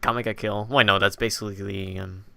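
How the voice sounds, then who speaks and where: A man hosting a podcast interview as casual chat, halting and correcting himself as he goes. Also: on the low side, level tone, vocal fry, bright, forceful tone, no room sound, clean studio sound. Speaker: podcast host interviewing a guest.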